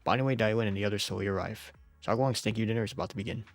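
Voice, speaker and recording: A man with a fairly low voice gives a casual game playthrough while sick. He talks informally and jokingly as he reads story text aloud, with faint music underneath. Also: steady tone, racing. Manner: informal, jokey, often chuckling. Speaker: game streamer.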